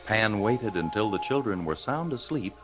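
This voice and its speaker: A man with a lowish, lively voice narrates a children's storybook. He reads from a script with very varied intonation, and loud music plays behind him. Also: moderate pace, poor audio.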